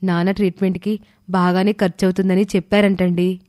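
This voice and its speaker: A woman playing a caring, gentle married daughter in a dramatised moral story. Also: fairly low pitch, flat delivery, fairly quick, no echo, studio quality, natural voice. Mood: concerned.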